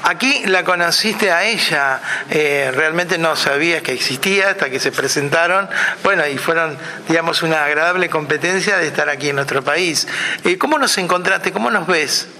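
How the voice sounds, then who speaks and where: Bright-voiced Argentine male interviewer. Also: fairly high pitch, normal speed, a little hiss or hum. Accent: Argentine Spanish. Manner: chatty, joking questions. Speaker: interviewer host. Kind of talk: radio-style interview.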